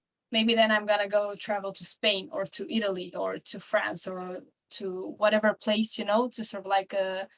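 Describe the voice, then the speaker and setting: A woman's voice: mid-range voice, level tone, normal speed, poor audio. A woman in her twenties from Romania, chatting as a podcast guest and rambling with many fillers and restarts. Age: 20s. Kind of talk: casual interview chat.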